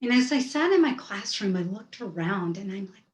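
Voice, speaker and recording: A woman's voice: medium pitch, expressive, normal speed, reverberant. Woman in her fifties telling a story. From rural Georgia, USA. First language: Hindi. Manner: vivid, first-person scene-setting. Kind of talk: personal storytelling. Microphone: video call.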